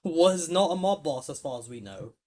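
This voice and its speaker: A man's voice: fairly high pitch, sing-song, normal speed, a little mellow. A man hosting a casual podcast debate, speaking in an opinionated, argumentative way. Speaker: podcast host.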